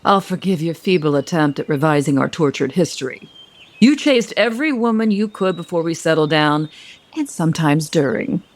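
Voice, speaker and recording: An actress playing a sardonic, worldly older wife in an audio soap opera, wry and pointed. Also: female voice, low-pitched, expressive, moderate pace. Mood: dry, sardonic.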